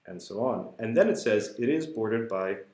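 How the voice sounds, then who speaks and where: A man's voice: fairly low pitch, lively intonation, normal speed, darkish tone. A man explaining a research paper at a whiteboard in a casual, hesitant way, with many fillers and restarts. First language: not English. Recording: echoey room.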